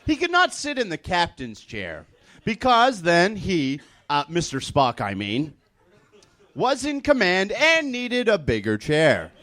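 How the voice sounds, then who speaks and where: A man reads a comic story very slowly, with a lively, swinging melody in his fairly high voice. His delivery is halting and over-formal, full of mock gravity. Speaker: comedic reader on a stage show. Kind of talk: dramatic comedic reading of fan fiction.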